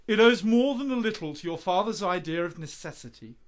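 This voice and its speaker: Man acting a lively, charming young poet. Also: high voice, brisk. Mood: gracious. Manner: courteous, theatrical, flowery. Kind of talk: radio play period acting.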